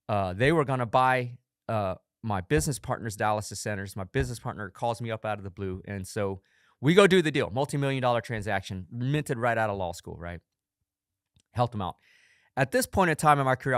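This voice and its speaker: Upbeat, smooth-voiced podcast host. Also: male voice, on the low side, no echo, studio quality. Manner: upbeat, enthusiastic storyteller. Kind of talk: motivational podcast monologue. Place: studio.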